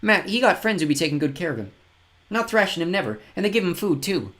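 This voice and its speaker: A man's voice: sits high, sing-song, fast talker, fairly bright tone, pitched above the performer's own voice. A man voicing a grubby, cheeky young boy from the fen who talks in broken dialect grammar. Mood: eager.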